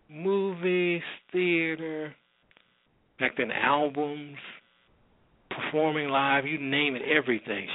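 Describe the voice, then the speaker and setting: A man's voice: mid-range voice, no echo. A man hosting a radio show, introducing programs in a casual, rambling, informal way. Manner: informal, repeats and circles back. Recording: narrow, lo-fi, dry radio sound.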